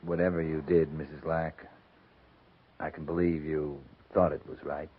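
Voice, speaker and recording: An actor playing a steady, courteous middle-aged American cavalry captain in a 1950s radio western, measured and authoritative. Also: male voice, very deep voice, breathy edge. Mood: gentle, reserved. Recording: muffled, noisy 1950s radio recording.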